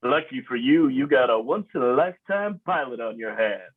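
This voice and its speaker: Man as boastful easygoing pilot, lively husky voice, thin narrow-band audio, sci-fi cartoon script read. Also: mid-range voice, normal speed. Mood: cocky, amused. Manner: breezy, boastful. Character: cocky, easygoing male ace pilot. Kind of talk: animated script table read.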